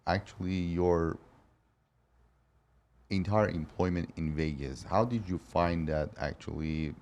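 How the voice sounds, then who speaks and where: A man's voice: low-pitched, slow pace, a little mellow. A man hosting a podcast, asking short casual questions and often tacking on "actually.". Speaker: podcast host. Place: podcast studio.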